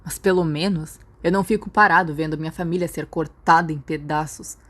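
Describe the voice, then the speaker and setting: A woman's voice: low voice, steady tone, fairly quick, a bit bright. A Brazilian woman voicing a sardonic, menacing teenage wizard schoolboy in an audiobook, with a cool, cutting manner. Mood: quiet menace.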